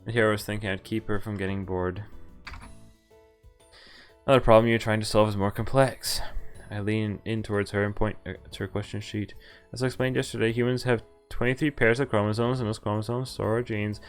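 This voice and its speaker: A man's voice: fairly low pitch, fairly even intonation, fairly quick, clear, resonant tone. A man streaming a game, reading its dialogue aloud and adding informal asides of his own. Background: game music.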